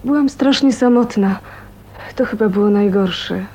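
An actress playing a frail, lonely, grateful young sick woman in a radio drama, with a weak, breathy voice. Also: medium pitch, unhurried, warm tone. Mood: weakly grateful.

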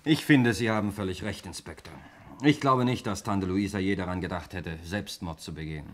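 A man playing a sardonic, self-assured gentleman in a 1960s German radio drama. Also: sits low, a little fast, natural voice. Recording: slightly noisy 1960s radio recording.

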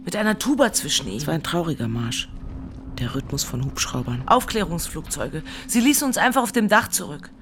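A woman's voice: low-pitched, very animated, brisk, noisy background. An actress playing a traumatised woman who survived a flood, giving rhythmic, poetic testimony in a radio drama. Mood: haunted, rhythmic.

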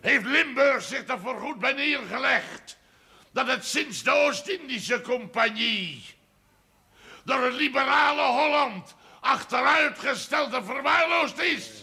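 An actor playing a fiery, domineering agitator in a television drama, declaiming in a rough, strained voice. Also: male voice, very high-pitched, animated, full character voice. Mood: indignant oratory. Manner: declamatory, shouting, drawn-out oratory. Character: fiery Limburg rabble-rouser, indignant and domineering.

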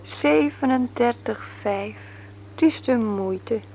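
An actress in a Dutch radio play, playing a brisk, teasing, no-nonsense nurse married to a man she likes to mock. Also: a little high, creaky, dull audio. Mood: dryly mocking. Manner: brisk, mocking, matter-of-fact. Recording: noisy old radio recording with hum.

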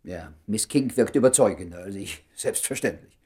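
An actor playing a concerned, familiar doctor friend in a German radio play, speaking in a dry, measured, understated way. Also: male voice, fairly low pitch, animated, dark tone, natural voice.

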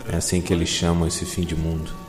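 Man acting a deep-voiced, jaded police detective. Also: completely flat delivery, quick pace, roomy sound, faint music behind, close to an everyday voice. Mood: bitter, sardonic. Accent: Brazilian. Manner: sardonic, weary, blunt. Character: jaded, cynical, foul-mouthed male police detective. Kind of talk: noir audio drama narration and dialogue.

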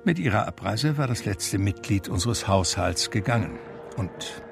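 An actor playing a reflective man who tells his life story in measured literary radio drama narration. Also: on the low side, animated, gravelly. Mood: sober, reflective. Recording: music under the voice. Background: music.

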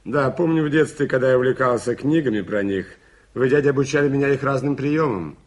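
An actor playing a worldly, insistent nephew in a radio play, expressive and conversational, on an old recording. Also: male voice, medium pitch, brisk, lightly acted. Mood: wry, explaining. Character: brisk, forceful, worldly nephew escorting a detective. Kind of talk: 1980s Soviet radio play acting.

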